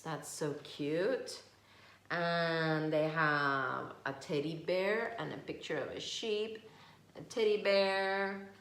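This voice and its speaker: A woman reading a picture book aloud to young children, slow and expressive, stopping to ask questions. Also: fairly low pitch. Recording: echoey room.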